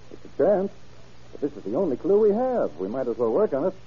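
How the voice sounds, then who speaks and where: Folksy old-radio sheriff, lively husky voice. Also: male voice, fairly high pitch. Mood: determined. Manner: folksy, plain-spoken, deliberate. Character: folksy, methodical middle-aged male county sheriff, dogged investigator. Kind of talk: old-time radio crime drama acting. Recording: muffled vintage radio drama recording.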